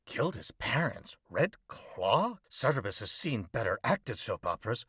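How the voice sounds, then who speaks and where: A performer voicing a grumpy male warrior who talks about himself in the third person, sardonic and deadpan. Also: mid-range voice, unhurried, slightly rough, no room sound, studio quality, heavily acted. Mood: grumbling.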